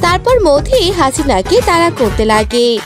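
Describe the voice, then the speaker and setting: A woman's voice: high-pitched, very animated, measured pace, over music. A woman giving scripted narration for a cartoon story.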